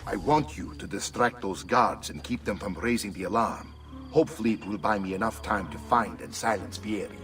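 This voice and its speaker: A man playing a shrewd, gruff Italian uncle who commands mercenaries in a game cutscene, with an Italian accent. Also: fairly low pitch, normal speed, fairly bright tone, lightly acted. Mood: calm command. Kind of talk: video game cutscene acting. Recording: slightly distant, faint music behind.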